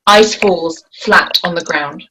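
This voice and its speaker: A woman's voice: lowish voice, slow pace, pushed and bright, rough recording. A woman narrating scripted action and stage directions at a screenplay table read. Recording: faint background noise.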